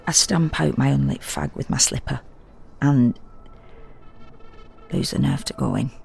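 Low, creaky-voiced confessional woman. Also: animated, a little slow, darkish tone, soft background music, heavily acted. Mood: agitated, watchful. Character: exhausted, fretful, confessional woman homeowner narrating her unease. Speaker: narrator and actor. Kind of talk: dramatic first-person monologue in an audio drama.

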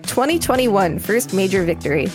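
Female co-host, article narration plus light joking banter, music clearly playing behind her. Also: mid-range voice, animated, moderate pace. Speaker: podcast co-host reading an article aloud. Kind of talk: read-aloud narration with casual banter.

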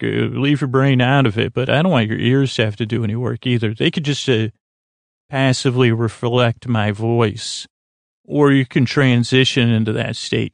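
A man hosting a sleep podcast in a lulling, soothing way, rambling unscripted, stumbling and trailing off mid-thought with gentle self-deprecation. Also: mid-range voice, vocal fry, dry recording. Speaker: podcast host.

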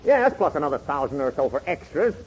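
A man's voice: fairly high pitch, big pitch swings, fast, darkish tone. A man playing a brash, shameless used car salesman with hearty patter, in 1940s radio comedy style. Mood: hearty, slick. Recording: muffled, very noisy 1940s radio recording.

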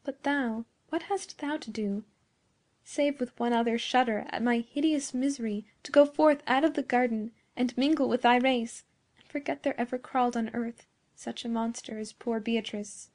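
Woman playing a plaintive, high-voiced young maiden. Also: steady tone, normal speed, lightly acted. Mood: calm grief. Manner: archaic, plaintive, gentle. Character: tender, sorrowful young woman, lonely and pleading. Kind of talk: dramatic storybook reading.

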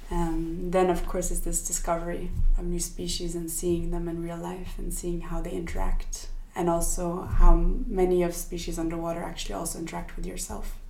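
Young woman from sweden, guest on a podcast, kinda low voice, lots of ums, echoey room. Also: steady tone, normal speed, vocal fry, hardly any pauses, darkish tone. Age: young adult. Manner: thoughtful, many fillers and restarts. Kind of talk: casual interview.

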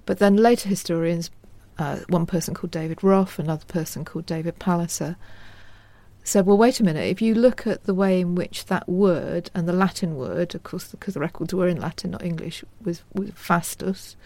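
A woman historian explaining her subject as an interview guest in a measured, scholarly manner. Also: lowish voice, mellow, no echo.

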